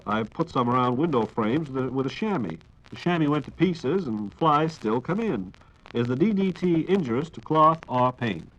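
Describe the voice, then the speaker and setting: Male American radio host, 1940s announcer style, formal measured delivery, mid pitch, dull vintage sound. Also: lively intonation, a bit bright. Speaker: radio program host reading listener questions.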